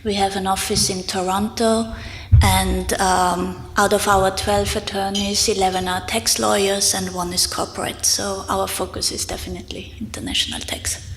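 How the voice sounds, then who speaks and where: A woman's voice: medium pitch, flat delivery, normal speed, noisy background. A woman with an Austrian accent hesitantly introducing herself as a panelist. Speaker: lawyer, panelist. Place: conference room. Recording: reverberant conference hall.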